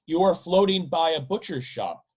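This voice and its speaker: A man reading his own novel aloud as literary narration, in a deliberate, dramatic manner with drawn-out, emphatic phrasing. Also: a little high, animated, husky, muffled recording.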